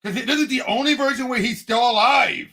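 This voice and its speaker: A man co-hosting a casual movie discussion, excitable and animated as he retells and acts out quotes. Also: very high voice, brisk. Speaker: movie podcast co-host.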